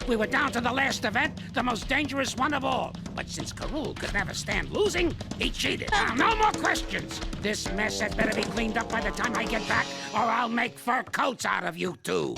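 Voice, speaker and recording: An actor playing a grumpy elderly male ape inventor with a gravelly, creaky old voice, gruff and bossy. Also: sits high. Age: older. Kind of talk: cartoon voice acting. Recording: music playing underneath.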